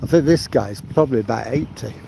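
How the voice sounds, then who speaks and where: A man's voice: mid-range voice, brisk, gravelly. An older Mancunian man, past seventy, chats on a phone live stream while out on a seaside promenade at night. He rambles, greets viewers by name and talks in a middling voice. Heavy wind buffets the mic and the sea roars behind him. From Manchester, England. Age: 70s. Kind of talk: casual live-stream chat reading viewer comments. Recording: loud wind noise on the mic. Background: wind and sea noise.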